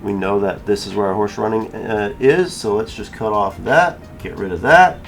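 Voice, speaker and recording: A man with a low voice talks through a screen-recorded software tutorial. He is informal and thinks aloud as he works, with faint music behind him. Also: normal speed, gravelly. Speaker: tutorial creator.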